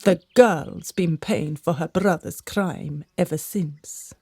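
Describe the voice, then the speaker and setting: Husky, creaky old gossiping servant woman, acted. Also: low voice, slow, darkish tone, dry recording, full character voice. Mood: conspiratorial. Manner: conspiratorial, theatrical. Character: gossiping older servant woman, secretive and dramatic. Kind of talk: radio drama acting.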